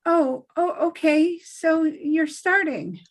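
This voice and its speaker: A high, slow-paced young woman's voice, acted by a woman at a table read. She is polite, earnest and a little hesitant, a drama heroine. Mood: concerned, hesitant. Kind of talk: acted script reading.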